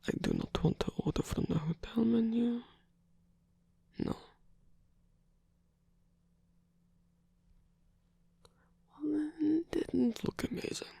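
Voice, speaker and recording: A man playing a flirtatious, theatrical rock singer in an intimate ASMR romance roleplay, teasing and murmuring with breathy, husky, hesitant speech. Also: extremely high pitch, big pitch swings, normal speed, mellow, dry recording, heavily acted. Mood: playfully stubborn. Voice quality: breathy, husky, often whispered. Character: flirtatious theatrical male rock singer, tired, playfully indulgent lover.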